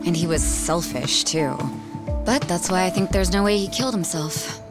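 A woman's voice: fairly low pitch, normal speed, close to an everyday voice. An actress playing a blunt, sarcastic young woman bartender in a video game. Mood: skeptical. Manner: brusque, sarcastic. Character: blunt, prickly young female cabaret bartender. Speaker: voice actor in a game. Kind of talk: English video game cutscene dub. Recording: music behind the voice.

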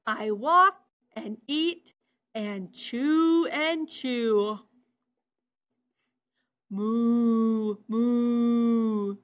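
A woman reading aloud slowly as a calm grazing cow, drawing out her words. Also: on the high side, exaggerated voice. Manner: slow drawn-out read-aloud voice. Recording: muffled lo-fi recording.

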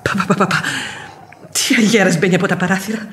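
An actress playing a devoted, outspoken housekeeper and old nursemaid in a radio play, husky-voiced and expressive. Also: female voice, medium pitch, background noise, natural voice. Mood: forced cheer, bustling. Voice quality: very husky, breathy. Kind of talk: classic radio theatre acting.

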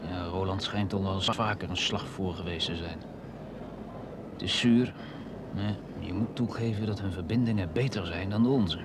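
An actor playing a cautious, brisk junior security agent on a stakeout in an old Dutch radio play. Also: male voice, low voice. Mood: matter-of-fact. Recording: old radio recording, loud background noise.

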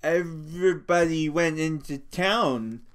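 A man puts on a huge, gentle, slow-witted ranch hand for a storybook reading. He speaks in a raised, soft, stammering voice, halting and repeating words like an anxious child. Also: on the high side, pitched above the performer's own voice. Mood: pleading.

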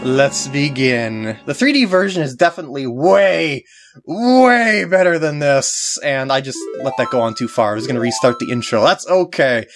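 A young man giving casual, unscripted walkthrough commentary in a halting way with wry asides. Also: medium pitch, expressive, fairly bright tone, soft background music.

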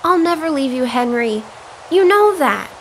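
Woman acting a high-voiced, dreamy Victorian bride. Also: animated, unhurried, a bit bright, a little hiss or hum, exaggerated voice. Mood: entranced. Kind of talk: Victorian audio drama acting.

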